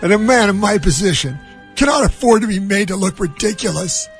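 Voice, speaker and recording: A blustering middle-aged movie mogul, pitched very high. He rants with wounded pride and heavy emphasis, stretching out each grievance. Faint music sits behind the voice. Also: expressive. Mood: bitter, boastful. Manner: emphatic, drawn-out ranting. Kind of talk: comic impression of a film scene.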